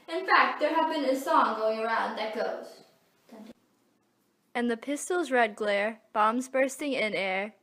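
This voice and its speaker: Female teen, American, high voice, reading amateur news script in a roomy space, set back from the mic. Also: a bit bright. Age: teenager. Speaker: student playing news reporter and anchor. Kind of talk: amateur scripted mock 1920s newscast. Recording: roomy echo, distant mic.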